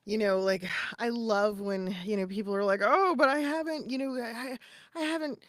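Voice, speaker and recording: A woman co-hosting a podcast, giving casual, conversational advice in an animated, emphatic way with short punchy asides. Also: a little high, normal speed, dry recording. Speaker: podcast co-host and voice actor.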